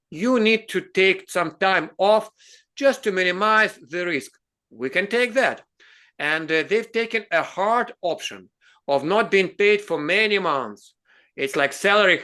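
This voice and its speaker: A man with a somewhat high-pitched voice and a Russian accent explains things in English, his second language. He speaks earnestly and haltingly, breaking off into restarts and fillers before pressing his point with emphasis. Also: pushed and bright. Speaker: host. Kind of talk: explanatory video talk.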